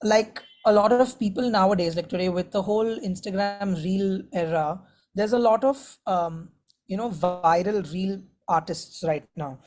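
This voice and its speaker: A man's voice: sits high, a little mellow. A man giving conversational answers as a musician being interviewed. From India.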